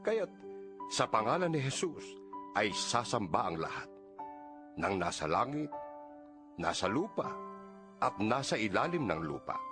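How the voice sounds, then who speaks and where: Deep-voiced Filipino man reading scripture dramatically. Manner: solemn, earnest, measured exhortation. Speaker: audio Bible narrator. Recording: music under the voice. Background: background music.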